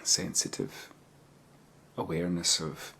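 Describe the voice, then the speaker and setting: A man leading a guided body scan meditation, giving gentle, measured instructions with pauses. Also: deep voice, expressive, vocal fry, a little hiss or hum.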